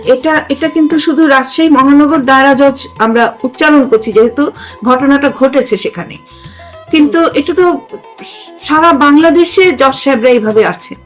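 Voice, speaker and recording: Older Bangladeshi woman, higher-pitched voice, music behind. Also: moderate pace. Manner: emphatic, repeats phrases, seeks agreement. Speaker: talk show host, retired judge. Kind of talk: conversational discussion.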